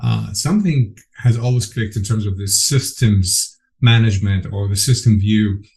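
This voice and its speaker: A middle-aged man from Egypt talks as a guest on a podcast, his voice sitting a little low. He sounds earnest and keen, and he often backs up to restart a phrase. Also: normal speed, creaky, a little mellow. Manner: earnest, enthusiastic, frequent restarts. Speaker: guest, program director. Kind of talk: conversational interview.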